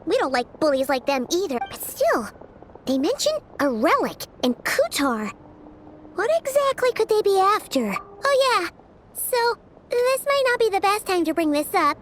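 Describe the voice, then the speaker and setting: A woman voicing a small, childlike fairy companion in a game, with a squeaky voice and a bubbly, blunt manner. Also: sing-song, normal speed, a little hiss or hum. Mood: earnest. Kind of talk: game voice acting.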